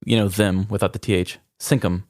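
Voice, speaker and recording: A man's voice: on the low side, fairly even intonation, brisk, a little mellow, no echo, studio quality. A man co-hosting a podcast in casual chat, with an informal, joking way of talking. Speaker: podcast co-host.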